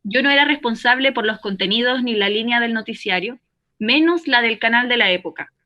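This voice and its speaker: A woman's voice: a little high, fairly quick, natural voice. A woman playing a defensive, earnest journalist and former newsreader in a radio play, firm and articulate, over a remote link. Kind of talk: radio play as live call-in interview. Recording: dull, band-limited remote link.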